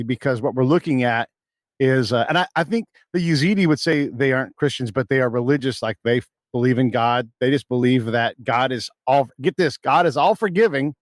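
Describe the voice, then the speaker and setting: A man's voice: mid-range voice, no room sound. A man hosting a podcast interview, chatty and joking, with many restarts. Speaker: podcast host. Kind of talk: casual interview talk.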